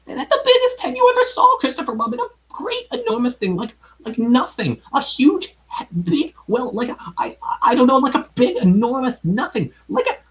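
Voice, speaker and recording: A tiny, frightened male piglet from a children's storybook. The voice is very high and swoops wildly. He stammers and squeals, short of breath with nerves. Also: big pitch swings, normal speed, few pauses, fairly bright tone, distant mic, muffled recording. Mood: panicked, stammering. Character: small timid male piglet, panicky and stammering.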